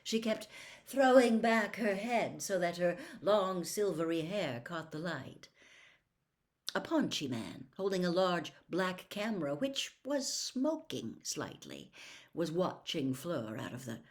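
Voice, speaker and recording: A woman reading a storybook aloud as an expressive narrator. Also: fairly low pitch, slow. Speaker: audiobook reader.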